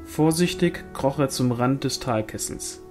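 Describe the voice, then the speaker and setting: A man's voice: medium pitch, a little slow, a little mellow, soft background music. A man reading a fantasy novel aloud as scripted audiobook narration. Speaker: audiobook reader.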